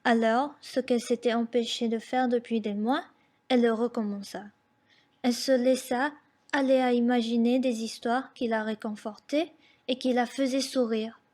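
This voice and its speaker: A woman's voice: on the high side, steady tone, moderate pace, a little mellow. A woman reading scripted literary narration for a radio drama.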